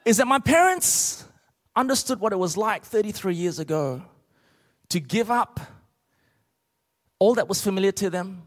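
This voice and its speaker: Male preacher, middle-aged, Australian accent. Notably high voice, emphatic comic storyteller, big reverberant hall. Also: lively intonation, normal speed, pauses often. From Australia, born in Malaysia. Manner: emphatic, rhetorical questions to the congregation. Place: large church auditorium, speaking to a congregation.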